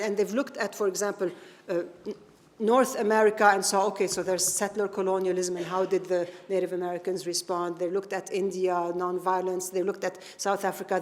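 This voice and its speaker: Middle-aged woman giving a keynote, earnest and emphatic, lots of uhs, mid pitch, long hall reverb. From Palestinian, born in Iraq, raised in Lebanon. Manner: earnest, emphatic, with many fillers and restarts. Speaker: keynote speaker. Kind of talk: public lecture. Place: large lecture hall.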